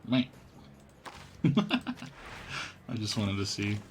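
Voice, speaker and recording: A man's voice: fairly low pitch, wildly swooping pitch, very slow, a little hiss or hum. A man livestreaming a game, chatting casually and reading on-screen text aloud in a laid-back, mumbly way, humming to himself. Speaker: live game streamer. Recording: music behind the voice. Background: game music.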